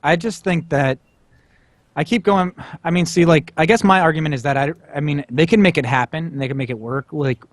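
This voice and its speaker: A man's voice: a little high, a little fast, no echo. North American man chatting, dry close sound. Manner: rambling, repeats phrases. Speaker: podcast co-host. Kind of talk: casual podcast discussion. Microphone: close mic on an internet voice call.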